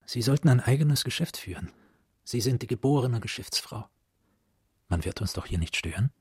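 Husky, dark-voiced scheming gentleman. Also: mid-range voice, animated, normal speed, no room sound, studio quality. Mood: courteous, flattering. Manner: persuasive, calculated, courteous. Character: smooth, scheming middle-aged gentleman, flattering and commanding. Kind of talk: German literary radio play acting.

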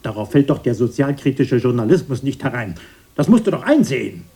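Dark, husky, bossy brother. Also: male voice, medium pitch, very animated, a little fast. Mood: indignant. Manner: blustering, insistent, mock-earnest. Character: bossy, bitter brother recalling a poor childhood. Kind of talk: radio drama acting.

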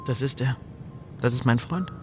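An actor in a German radio drama playing an excitable, guilt-ridden fire-setter. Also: male voice, medium pitch, moderate pace, over music, close to an everyday voice. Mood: confessing.

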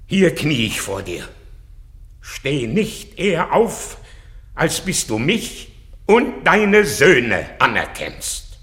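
An actor playing a proud, loyal old warrior, declaiming with passion in a classical radio drama. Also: male voice, fairly high pitch, big pitch swings, slow pace, a bit bright, exaggerated voice. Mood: pleading, earnest. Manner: impassioned, declamatory.

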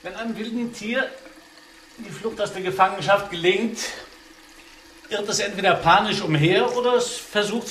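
A man's voice: sits high, a bit bright. A man playing a self-assured, lecturing scientist husband in a radio play, speaking from a bathroom. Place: tiled bathroom, water running behind. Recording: echoing bathroom, running water noise.

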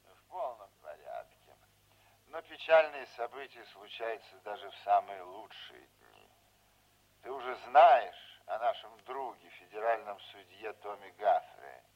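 Old folksy politician in a radio play, deep-ish, insinuating, takes his time, dull sound. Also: expressive. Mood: genial. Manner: unhurried, avuncular, insinuating. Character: elderly folksy, scheming veteran male politician. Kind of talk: Soviet-style radio play acting.

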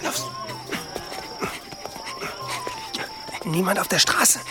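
An actor in a German radio drama playing a stealthy, grumbling medieval assassin, hushed and urgent, often whispering. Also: male voice, sits high, huge pitch swings, moderate pace, music in the background. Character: stealthy, grumbling medieval assassin, twin brother to his accomplice.

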